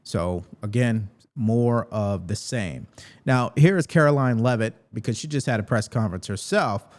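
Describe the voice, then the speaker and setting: A man's voice: medium pitch, animated, moderate pace. An American man speaking as the host of a political commentary show, addressing viewers directly.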